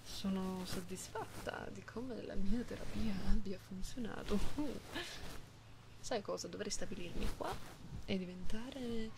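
A woman voicing an affectionate, playful cat-girl in a soft-spoken ASMR roleplay, soothing and teasing, slipping into whispers. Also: medium pitch, a little slow, natural voice. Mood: pleased, playful. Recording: close binaural recording, clearly noisy background.

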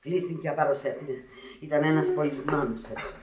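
A woman's voice: low voice, steady tone, noisy background, lightly acted. Radio drama: despairing, curt Sicilian mother, played by a woman, distant in a roomy old recording. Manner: curt, anguished. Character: despairing, bitter Sicilian immigrant mother, middle-aged seamstress. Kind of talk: Greek radio drama acting.

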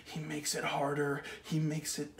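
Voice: medium pitch, completely flat delivery, measured pace, echoey room, recorded from a distance, close to an everyday voice. A performer playing a troubled fighter who talks to himself in a dramatic inner monologue, whispering and repeating phrases over and over. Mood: bitter. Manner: hushed, repetitive whispering.

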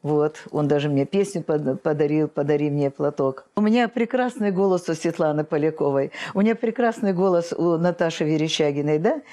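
An older woman, a choir director, speaking as an interview guest in an expressive, theatrical way. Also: low voice, fairly quick, hardly any pauses. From Russia. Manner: expressive, theatrical, acts out quotes and song lines. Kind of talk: reflective interview storytelling. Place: indoor choir rehearsal room.

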